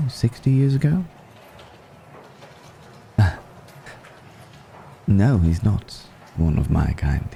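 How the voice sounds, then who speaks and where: A man voicing a suave, menacing vampire noble in an intimate ASMR roleplay, speaking in a genteel, teasing way with drawn-out pauses. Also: deep voice, vocal fry, mellow, slight background noise, full character voice. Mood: teasing, mocking, then playful, sly. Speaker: voice actor.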